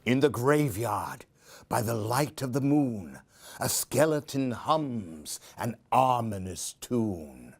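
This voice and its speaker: A man reading a children's story aloud in an expressive, theatrical, singsong way. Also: mid-range voice, slow, breathy edge. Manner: theatrical, singsong rhyming delivery.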